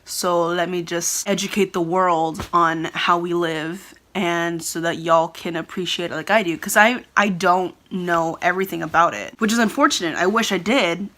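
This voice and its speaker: Young woman, Californian, Tagalog first language, earnest rambling vlog chat, slightly low pitch, bright tone. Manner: earnest, rambling, often laughing and restarting. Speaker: vlogger. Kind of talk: casual talking-to-camera commentary.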